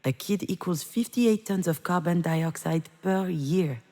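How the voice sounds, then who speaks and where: A woman playing a reflective, grieving mother who narrates her memories in a measured, confessional monologue for a video game. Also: fairly low pitch, warm tone. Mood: bitter regret. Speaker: narrating protagonist of a visual novel. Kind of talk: dramatic first-person game monologue. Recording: long reverberant hall echo.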